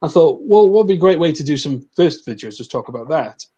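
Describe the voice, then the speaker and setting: A man from Wales with a faint, mostly smoothed-over Welsh accent, talking bluntly and casually as a guest on a video call, with frequent restarts. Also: on the high side, expressive, fast, dark tone, dull audio. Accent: soft Welsh, mostly neutralised toward English. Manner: blunt and chatty, mild swearing, frequent restarts. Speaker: guest, recruitment agency owner.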